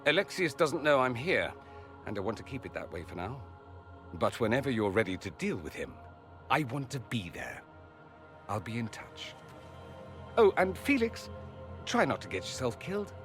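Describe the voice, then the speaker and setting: An actor playing a witty, self-assured foreign mage in a video game, with an arch, flamboyant manner. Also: male voice, medium pitch, big pitch swings, moderate pace, pauses often, a bit bright, soft background music. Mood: wry. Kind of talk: video game dialogue acting.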